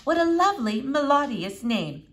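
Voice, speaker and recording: A woman's voice: sits high, sing-song, moderate pace, a bit bright, voice pushed up. A woman voicing a pompous, long-winded eleven-year-old boy with oddly formal, precise speech in a children's audiobook. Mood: courtly, solemn.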